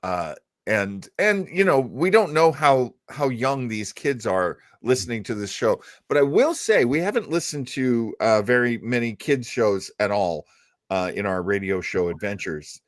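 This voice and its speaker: A man's voice: medium pitch, very animated, a little slow, dry recording, clean studio sound. A man hosting a show, chatting casually and musing as he explains things at length. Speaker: radio show host. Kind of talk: casual chat about old radio.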